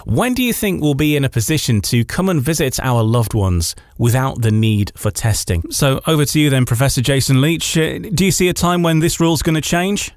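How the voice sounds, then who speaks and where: A man's voice: medium pitch, expressive, fairly quick, hardly any pauses, dry recording. Male radio host, dry close sound. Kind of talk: radio presenting and interviewing.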